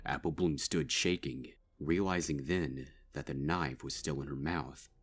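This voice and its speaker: A man giving grave, measured narration of a dark fan story. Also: a bass voice, slightly rough. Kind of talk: dark fanfic audiobook narration.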